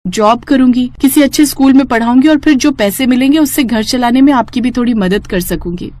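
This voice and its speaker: A woman's voice: on the high side, brisk, a little mellow, no echo, pitched above the performer's own voice, close to an everyday voice. Earnest, polite young wife voiced by a woman. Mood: determined.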